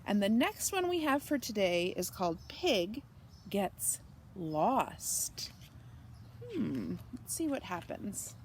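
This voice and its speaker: Slow, expressive woman storyteller. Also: medium pitch, a little hiss or hum. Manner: slow, expressive and engaging with young listeners. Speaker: children's storyteller. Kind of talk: reading a picture book aloud to children.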